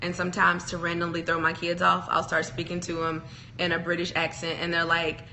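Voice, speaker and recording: A woman's voice: fairly low pitch, monotone, fairly quick, bright, forceful tone, a little hiss or hum. A young woman co-hosting a casual couple's chat show, rambling and playful with frequent giggles. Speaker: podcast co-host.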